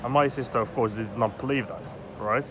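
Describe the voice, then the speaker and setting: A man's voice: mid-range voice, brisk. A man in his thirties from Egypt, ranting in an agitated, rambling way with lots of swearing and filler words. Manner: agitated, rambling, swears often, heavy "you know" fillers. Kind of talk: rambling personal vlog monologue. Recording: loud outdoor street traffic noise.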